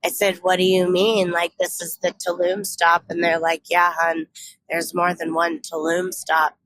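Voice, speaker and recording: A woman's voice: on the low side, flat delivery, unhurried, fairly bright tone, slight background noise, rough recording. A young woman from California telling a travel story in a casual, reflective way, full of "like"s and restarts. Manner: chatty, reflective, many "like"s and restarts. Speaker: travel vlogger.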